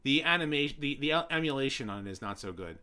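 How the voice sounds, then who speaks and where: Young guy rambling on his live stream, bright voice going a bit hoarse, lots of um and uh. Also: medium pitch, animated, quick pace. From New York City. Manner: rambling, many fillers and restarts. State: voice getting hoarse. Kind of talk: casual live-stream chat answering viewers.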